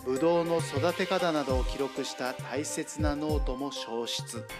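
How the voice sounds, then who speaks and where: A man delivers a scripted news report in a reporter's narrating style. His voice sits at an ordinary pitch, with music clearly playing behind it. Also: steady tone, moderate pace. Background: background music.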